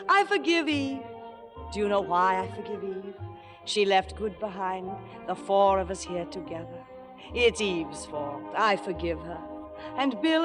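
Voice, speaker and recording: A woman's voice: mid-range voice, lightly acted. A woman acting a grand, wisecracking, aging stage star in a vintage radio drama. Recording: old radio broadcast with music behind.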